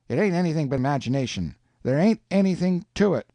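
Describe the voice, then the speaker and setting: A man voicing a calm, superior know-it-all boy who lectures in rustic dialect. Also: on the high side, fast talker, no echo.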